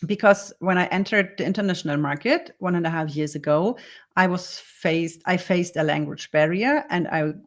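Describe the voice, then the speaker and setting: Lower-voiced woman with a German accent. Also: moderate pace. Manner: earnest, explains step by step in second-language English. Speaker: copywriter teaching a workshop. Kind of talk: dictated spoken answers in a workshop demo.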